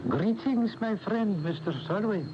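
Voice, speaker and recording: An actor playing a serene, courteous Indian meditation guru in a radio drama, speaking formally and with gentle instruction. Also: male voice, high voice, a little slow, over music. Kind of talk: 1980 radio drama acting. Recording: noisy, muffled AM radio broadcast tape.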